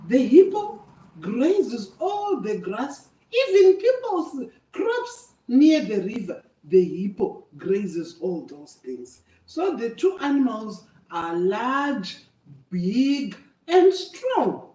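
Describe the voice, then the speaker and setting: Older lady doing story time for kids, slow n mellow, teacherly, echoey room far from mic. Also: fairly high pitch, very animated. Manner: emphatic, repetitive, teacherly. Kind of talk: animated oral storytelling to children. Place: echoey room, away from the microphone. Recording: echoey room, distant microphone.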